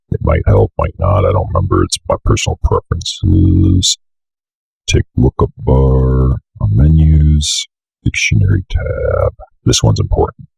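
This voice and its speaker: Very deep, gravelly man with a cold. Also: a little mellow, no room sound. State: has a bit of a cold. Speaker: software tutorial presenter. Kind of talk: casual screen-recorded walkthrough. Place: indoor room at a computer desk.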